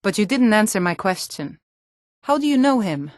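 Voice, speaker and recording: A woman's voice: medium pitch, sing-song, normal speed, no echo, studio quality, natural voice. A woman voicing a hardy, proud Nord warrior in a fantasy video game, speaking in an earnest, firm and plain-spoken way. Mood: wry, wary.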